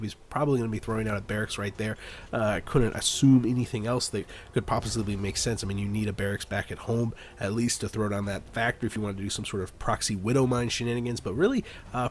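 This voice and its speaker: A man recovering from the flu, his nose badly stuffed up and his voice nasal. He talks through a game replay in a loose, rambling way, full of small fillers, at a middling pitch. A faint hiss of noise sits under his voice. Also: a little mellow. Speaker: game caster. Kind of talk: casual game commentary.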